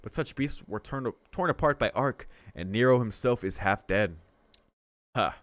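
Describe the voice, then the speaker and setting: A man's voice: mid-range voice, expressive, normal speed, fairly bright tone, dry recording. A young man reading a visual novel aloud and reacting in a loose, slangy way. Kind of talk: casual reaction commentary.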